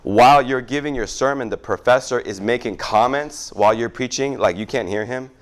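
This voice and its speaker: A man's voice: mid-range voice, fairly quick. A male pastor leading a casual prayer-request time before a Sunday service, chatting with his congregation. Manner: chatty, warm asides with repeated "good, good".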